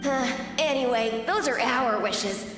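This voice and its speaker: A woman's voice: fairly high pitch, slow. A woman voicing a cheerful, food-loving young child in a video game flashback. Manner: wistful, enthusiastic. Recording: distant echoey hall with music.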